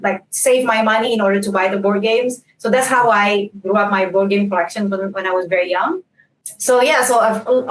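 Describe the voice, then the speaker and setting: A woman from Indonesia, a game designer, talking casually as a panel guest, often restarting words and laughing easily. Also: medium pitch. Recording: echoey and distant with faint noise.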